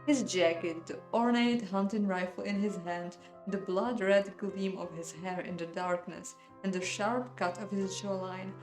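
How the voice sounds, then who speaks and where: A woman's voice: mid-range voice, fairly even intonation, a little slow, few pauses, a little mellow, reverberant, soft background music. A woman narrating a visual novel playthrough expressively, breaking off to react and comment. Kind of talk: dramatic story narration with playful reactions.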